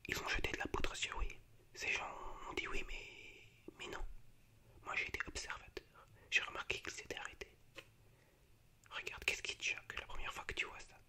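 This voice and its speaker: A man's voice: normal speed, bright, forceful tone. Whispering man, ASMR style. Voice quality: unvoiced, breathy whisper. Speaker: ASMR video host. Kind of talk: whispered ASMR, reciting film monologues.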